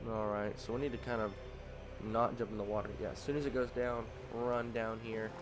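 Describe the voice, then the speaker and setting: A man's voice: fairly low pitch, flat delivery, a little fast, background noise. A man with a slightly nasal voice from allergies, casually talking through a video game and thinking aloud with frequent ums. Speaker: let's play host. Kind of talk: casual gameplay commentary. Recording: game music behind the voice. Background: game music.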